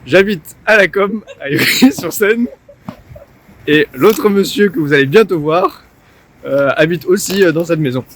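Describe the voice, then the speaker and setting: A relaxed man in his twenties speaks informally, with a few hesitations. His voice sits fairly high for a man. Faint noise hums behind him. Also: measured pace. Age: 20s. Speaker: urban planning student. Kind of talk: casual self-introduction.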